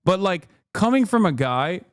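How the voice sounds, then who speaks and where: A man streaming live and reacting to a debate clip, joking and laughing often, dropping to low mutters and jumping to loud high outbursts. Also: big pitch swings, moderate pace, no echo, studio quality. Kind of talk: casual reaction commentary.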